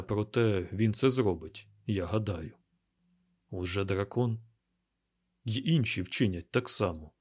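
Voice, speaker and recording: Man playing a steady, deliberate, burly young man. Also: on the low side. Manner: quiet, deliberate, measured.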